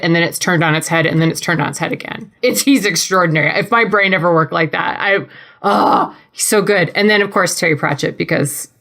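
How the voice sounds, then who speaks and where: A woman's voice: lowish voice, a bit bright. A woman chatting casually as a podcast panelist, gushing and emphatic in how she talks. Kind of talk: casual chat.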